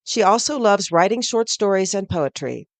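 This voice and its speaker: A woman hosting a storytelling group, reading a clear, steady scripted outro. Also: medium pitch, normal speed, no echo.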